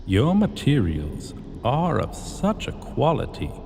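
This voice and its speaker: A man playing an imperious, possessive dragon king in a fantasy ASMR roleplay, speaking in a commanding, deliberate and haughty manner. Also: medium pitch, wildly swooping pitch, creaky, a little mellow, exaggerated voice. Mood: condescending. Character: imperious male dragon king, menacing, possessive, slyly amused. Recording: long hall reverb, faint background noise.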